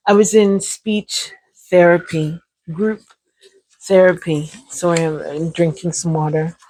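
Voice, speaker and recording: A woman, hosting her own channel and telling viewers about her brain injury in a casual, chatty talk to the camera. She calls her viewers "y'all", laughs now and then, and her voice sounds a little sore and hoarse. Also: lowish voice, steady tone, a little slow, a little mellow, a little hiss or hum, poor audio.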